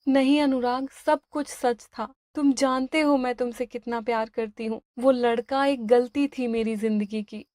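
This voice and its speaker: A woman's voice: a little high, fairly even intonation, measured pace, few pauses, darkish tone, no room sound, clean studio sound, pitched above the performer's own voice, natural voice. A woman voicing a guilt-ridden young bride in an audio story, pleading and apologetic. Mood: remorseful.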